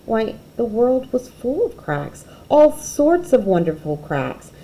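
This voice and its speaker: A woman reading a children's picture book aloud expressively, with dramatic pauses and emphasis. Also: a little high, very animated, warm tone, poor audio.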